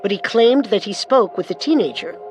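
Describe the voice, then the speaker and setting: A woman co-narrating a scripted true-crime story with measured, dramatic delivery. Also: medium pitch, animated, soft background music.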